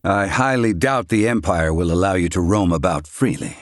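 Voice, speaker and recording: Deep, proud older inventor, played by a man, sternly formal. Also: normal speed, dry recording, studio quality, lightly acted. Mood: stern, measured. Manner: formal, archaic, haughty diction. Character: proud, stern older male inventor of flying craft, gruff and curt. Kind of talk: scripted video game voice acting.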